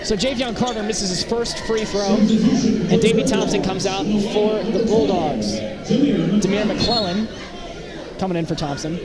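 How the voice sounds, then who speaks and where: A man's voice: sits high, unhurried. A man doing live play-by-play basketball commentary in clipped, fragmentary calls. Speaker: play-by-play announcer. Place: indoor basketball gym, up behind a balcony. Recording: loud gym crowd and court noise. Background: crowd, bouncing basketballs.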